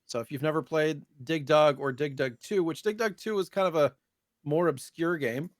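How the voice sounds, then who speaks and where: A man's voice: fairly high pitch, moderate pace, no room sound, studio quality. A man co-hosting a podcast, chatting casually and jokingly about gaming news. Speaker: podcast co-host.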